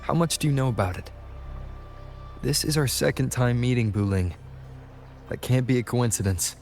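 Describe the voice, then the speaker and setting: An actor playing a composed young male game hero who reasons through his plans in a measured, serious way. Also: mid-range voice, animated, a little mellow, natural voice. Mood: probing. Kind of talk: scripted game voice acting. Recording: echoey, long reverb, music behind.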